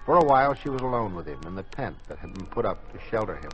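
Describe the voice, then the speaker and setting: A man's voice: on the low side, very animated, fast, fairly bright tone. A man narrating a scripted 1950s radio drama as its storyteller. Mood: solemn storytelling. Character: storyteller narrator of a military tale. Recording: muffled 1950s radio recording, music behind.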